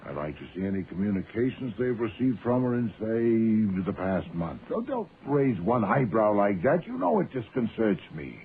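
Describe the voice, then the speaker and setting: A commanding genius detective with a low, widely swinging voice. He speaks formally and exactly, giving orders as the superior. The radio drama sound is thin and narrow, with some faint noise. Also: big pitch swings, moderate pace, few pauses. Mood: imperious. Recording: tinny, band-limited old radio, faint noise.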